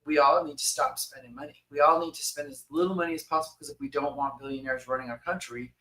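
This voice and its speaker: A man's voice: fairly high pitch, fairly even intonation, quick pace, pushed and bright. A man co-hosting a podcast, talking casually and emphatically, repeating phrases for stress. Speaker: podcast co-host. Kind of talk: casual conversation.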